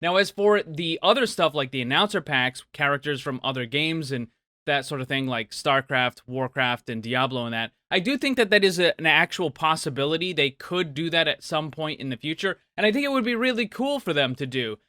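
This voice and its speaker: Male gaming host, upbeat commentary, forceful bright voice, very dry close sound. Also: on the high side, lively intonation, a little fast, dry recording. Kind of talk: upbeat video commentary answering viewer questions.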